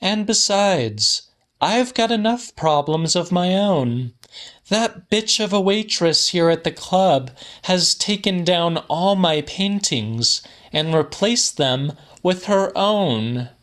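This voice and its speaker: A man's voice: sits high, lively intonation, slow, fairly bright tone, pitched above the performer's own voice, full character voice. A man voicing an aggrieved, dismissive woman painter in an audiobook, complaining indignantly.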